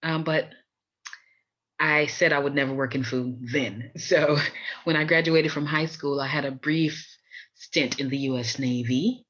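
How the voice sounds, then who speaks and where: A woman's voice: deep voice, fairly even intonation, unhurried, a bit bright. American woman storyteller, slightly dull recording. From Miami, USA. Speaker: podcast host. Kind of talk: personal storytelling introduction.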